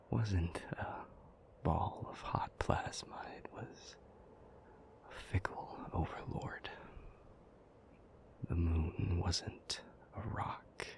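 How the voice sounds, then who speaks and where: Male actor as grave demon lover for audio roleplay: deep, slow, half-whispered, troubled but powerful. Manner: slow, deliberate, halting phrases. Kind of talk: intimate audio roleplay acting.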